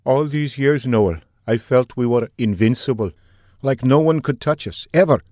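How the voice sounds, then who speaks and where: A man's voice: mid-range voice, normal speed, darkish tone, no room sound, studio quality, pitched above the performer's own voice, lightly acted. A man voicing a shaken, brooding male fighter pilot who speaks grimly and reflectively. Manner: grim and reflective.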